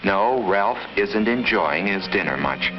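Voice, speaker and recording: A man with a fairly low voice reads scripted narration for a vintage 1950s classroom film. He instructs like a storyteller talking to children, with animated rises and falls. Music plays clearly behind him on the dull old soundtrack. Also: takes it slowly. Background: background music.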